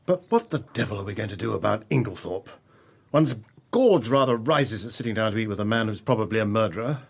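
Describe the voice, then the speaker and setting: A blunt, well-bred English squire. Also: male voice, lowish voice, expressive, brisk, gravelly, breathy edge, full character voice. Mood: troubled. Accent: upper-class English. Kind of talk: radio drama acting.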